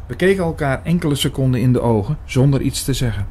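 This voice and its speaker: A man narrating a radio play in the first person, measured and literary in his storytelling. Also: mid-range voice, expressive, mellow, slight background noise. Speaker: narrator and main character.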